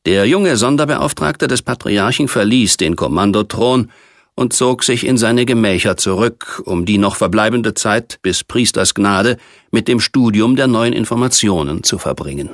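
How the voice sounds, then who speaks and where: A man narrating a science fiction novel as an audiobook, reading in a measured, precise literary manner. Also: lowish voice, expressive, dry recording, studio quality.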